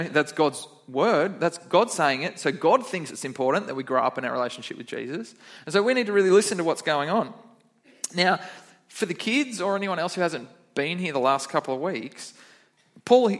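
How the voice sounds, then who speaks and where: A man's voice: on the high side, a bit bright. A young man, a kids pastor, giving a chatty, upbeat children's sermon in church and quizzing the kids.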